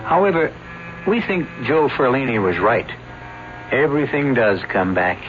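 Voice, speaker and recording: A man's voice: mid-range voice, big pitch swings. Wry male radio mystery host, muffled recording. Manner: measured, theatrical, wry. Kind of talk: scripted old-time radio narration. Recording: muffled vintage radio, clear hiss.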